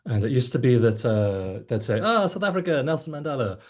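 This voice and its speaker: A man's voice: mid-range voice, sing-song, fairly quick. A man from Mozambique in a casual group discussion, telling wry stories with dry humor over a video call.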